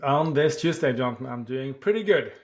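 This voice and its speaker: A man in his forties from Norway with a Norwegian accent, a founder answering podcast interview questions thoughtfully, often pausing with "uh" and restarting phrases. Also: medium pitch, animated. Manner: thoughtful, with frequent "uh" pauses and restarts. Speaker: startup founder.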